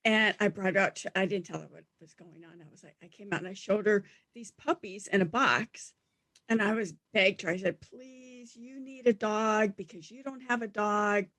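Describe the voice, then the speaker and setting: A middle-aged woman with a scratchy voice, a guest telling lively anecdotes in a casual interview. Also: mid-range voice, normal speed, no echo. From Denver, USA. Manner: animated anecdotal storyteller. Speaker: guest, animal talent agent. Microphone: studio mic.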